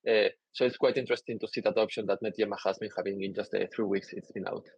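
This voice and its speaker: Low-voiced man giving a technical talk. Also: fast talker, breathy edge, no echo, muffled recording. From Peru. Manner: many "uh" fillers, relaxed and explanatory. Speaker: conference speaker. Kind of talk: technical talk over slides. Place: indoor room, on a video call.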